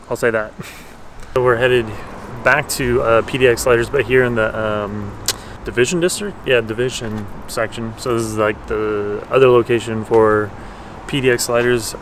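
A man talking casually in a food and travel vlog, relaxed and easy, with fillers and small laughs, filmed on a camera outdoors in the city. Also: medium pitch, a little hiss or hum. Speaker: travel vlogger. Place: outdoors in the city, in wind and rain.

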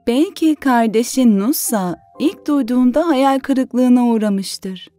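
Slow, mellow, gentle mother. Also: a little high, soft background music. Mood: reassuring. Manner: soothing, tender. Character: gentle, patient, devout mother. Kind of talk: dubbed children's cartoon acting.

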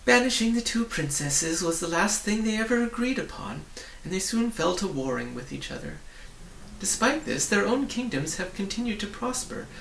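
A sad, kindly old storybook witch, acted out by a man, speaks in a raised voice with gentle resignation. The recording is roomy and a little distant from the mic. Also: high-pitched, vocal fry, slight background noise, pitched above the performer's own voice, exaggerated voice.

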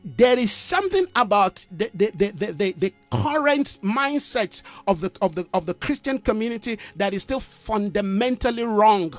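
A man's voice: high-pitched, very animated, normal speed, faint music behind. A middle-aged man preaching in an online broadcast, emphatic and repetitive, with frequent "amen" and "you know" fillers. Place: indoor study with bookshelves. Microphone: studio mic on a boom arm, close.

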